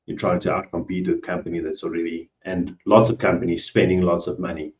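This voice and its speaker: Low-voiced South African man, roomy sound. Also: flat delivery, brisk, a little mellow, muffled recording, slight background noise. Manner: hesitant, trailing off, frequent "you know". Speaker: guest, cleaning business owner. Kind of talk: casual video call interview.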